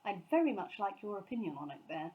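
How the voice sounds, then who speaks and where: Woman acting a pompous booming gentleman, loudly projected. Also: sing-song, fast talker, lo-fi sound, lightly acted. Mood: hearty, tactful. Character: pompous booming gentleman, factory owner, hearty host.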